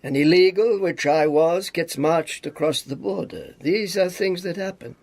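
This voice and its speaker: An actor playing a weary, sardonic but affectionate communist spy and battered survivor in a radio drama, with theatrical, deliberate, clipped phrasing. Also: male voice, a little high, gravelly, a little mellow. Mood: bitter, reflective.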